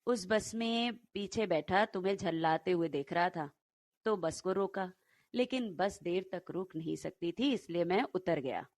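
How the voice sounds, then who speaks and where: A woman's voice: fairly low pitch, a little slow, darkish tone. Woman narrator as a kind, easygoing young man. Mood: gently explaining.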